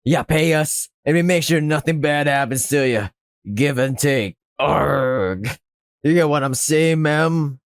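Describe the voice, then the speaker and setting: A man's voice: a little high, slow, smooth, no echo, clean studio sound. A man voicing a blustering, menacing barracuda pirate gangster who talks in showy pirate speak with an unsteady accent. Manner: pirate talk with jumping accent and har-har laughs.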